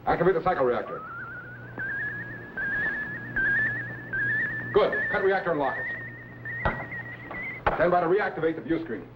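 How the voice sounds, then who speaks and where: An actor playing an authoritative scientist captain, giving orders and explaining earnestly in a 1950s sci-fi serial. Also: male voice, on the high side, expressive, brisk, lightly acted. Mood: commanding. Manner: crisp commands, earnest explaining. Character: commanding, authoritative time-traveling scientist captain. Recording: dull vintage broadcast with faint noise.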